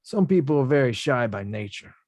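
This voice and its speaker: A man reading the part of a rough-talking young male boxer at a script table read, slangy and self-mocking. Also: medium pitch, very animated, fairly quick, darkish tone, no room sound, studio quality.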